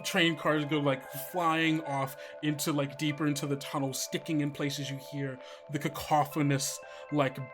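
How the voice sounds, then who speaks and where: Slow, suspenseful man as game master. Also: mid-range voice. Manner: suspenseful, deliberate phrasing. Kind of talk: dramatic tabletop game narration.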